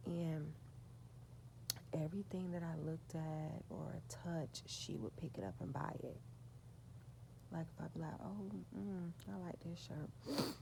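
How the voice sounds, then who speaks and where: Soft-voiced woman with a gravelly low tone. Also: slight background noise, low-quality recording. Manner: slow, confiding, drifting into whispers. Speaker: vlogger. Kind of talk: spiritual storytime monologue.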